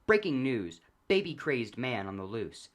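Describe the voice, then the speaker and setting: A man voicing an urgent TV news anchor in a story, announcing a bulletin in a loud, declamatory way. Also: medium pitch, very animated, measured pace.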